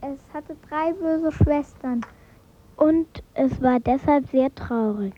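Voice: sits high, slow. A child retelling a fairy tale simply from memory, recorded on tape with very narrow sound. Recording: very narrow, phone-like cassette sound.

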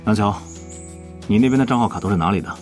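An actor playing a serious, exasperated young online guild leader in an audio drama. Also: male voice, fairly low pitch, fast talker, soft background music, close to an everyday voice. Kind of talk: Chinese audio drama acting.

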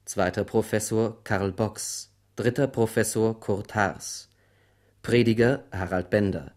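A man's voice: lowish voice, fairly even intonation, takes it slowly, a little mellow. A man working as a radio announcer, formally reading out scripted credits.

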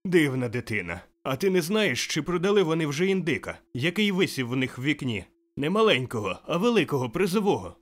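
A man's voice: on the high side, very animated, fairly quick, voice pushed up. A man voicing an old miser turned overjoyed and giddy, exclaiming breathlessly in an audiobook. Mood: eager. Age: older. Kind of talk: audiobook character voice.

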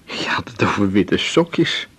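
An actor playing a calm, caring young man woken at night in a radio drama, gently questioning. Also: unhurried, husky, exaggerated voice. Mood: concerned, sleepy. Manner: low-key, gently questioning. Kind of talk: naturalistic 1980s radio drama acting.

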